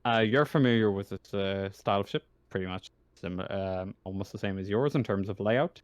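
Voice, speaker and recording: A man acting as game master, casually narrating a tabletop roleplaying game in a halting way, searching for words. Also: on the low side, no echo.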